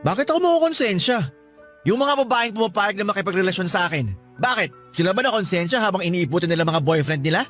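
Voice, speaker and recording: A man's voice: high-pitched, expressive, quick pace, fairly bright tone. A man playing a hurt, defensive young lover in a Filipino radio drama, self-pitying, with a Filipino accent. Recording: music behind the voice.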